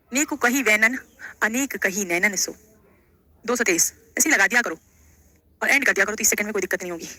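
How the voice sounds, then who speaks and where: A woman's voice: a little high, sharp tone. A woman teaching an exam class live, repeating phrases, prompting students with check-in questions and addressing them with casual endearments. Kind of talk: live online Hindi grammar lesson, question by question.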